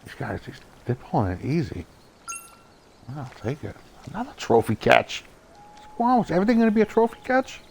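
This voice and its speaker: A young man with a Boston accent, chatting casually as he streams a fishing game, getting excited at each good catch and laughing often. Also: on the high side, brisk, gravelly, a little hiss or hum. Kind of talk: casual live gameplay commentary.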